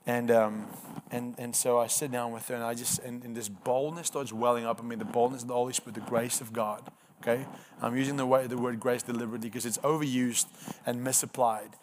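A man's voice: mid-range voice, level tone, fairly quick, slight background noise. Earnest Afrikaans-accented man preaching. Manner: earnest storytelling with emphatic repetition. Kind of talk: sermon testimony.

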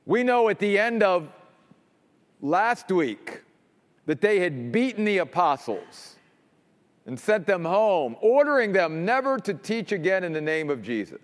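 A man preaching a sermon as pastor, emphatic, dropping low and quiet to stress points. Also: sing-song, unhurried, in a large hall.

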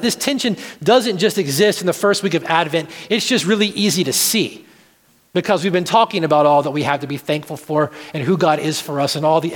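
A man preaching a sermon in an earnest, deliberate way, repeating phrases for emphasis. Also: on the high side. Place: large reverberant room, church service. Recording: live sermon in reverberant hall.

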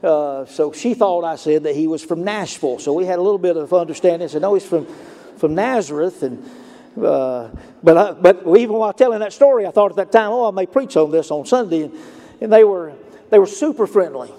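A man with a Lower Alabama Southern accent preaching a sermon, moving from storytelling to emphatic points. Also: animated, a little fast, warm tone, in a large hall. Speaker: pastor.

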